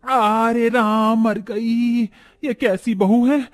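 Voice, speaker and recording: A man acts out an older village mother-in-law in an animated moral tale. The voice is high-pitched and plaintive. She is traditional and exasperated, scolding with dramatic exclamations. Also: extremely slow, soft background music, heavily acted. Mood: pained, indignant.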